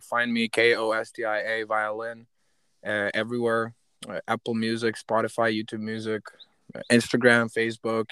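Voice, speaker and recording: A man's voice: on the low side, a bit bright, clear, resonant tone, no echo. A young man from western Ukraine, a violinist guest, talking in a laid-back, slangy way with casual swearing. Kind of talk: casual interview chat. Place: outdoors by a road with passing cars.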